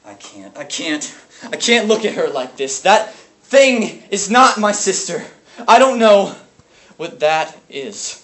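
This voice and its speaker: A man performing a dramatic monologue as a haunted, bitter, grieving brother, speaking in a halting, intense way. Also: medium pitch, lively intonation, a bit bright. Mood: quiet grief. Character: grief-stricken brother, haunted and bitter over family abuse. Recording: echoey, noisy room from far off.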